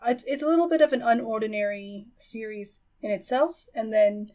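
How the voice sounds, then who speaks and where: A woman's voice: a little high, moderate pace, mellow. Thoughtful woman hosting a casual podcast. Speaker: podcast host. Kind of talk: casual chat about anime.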